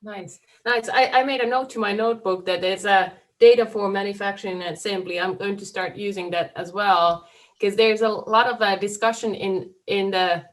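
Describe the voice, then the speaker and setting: A woman from Finland speaking English with a Finnish accent as a panel moderator, hesitant with many pauses. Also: mid-range voice, steady tone.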